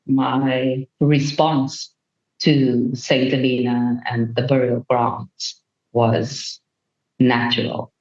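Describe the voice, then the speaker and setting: A woman's voice: extremely low pitch, little pitch movement, a little mellow. Earnest woman, roomy dull sound. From Namibia. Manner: earnest, measured, thoughtful. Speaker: guest. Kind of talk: reflective interview answer. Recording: roomy, dull remote recording.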